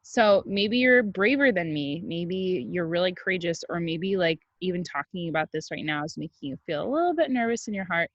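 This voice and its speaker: A woman teacher doing an animated, expressive read-aloud for young children, with casual asides. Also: on the low side, fairly quick, hardly any pauses, dry recording, dull audio. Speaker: kindergarten teacher.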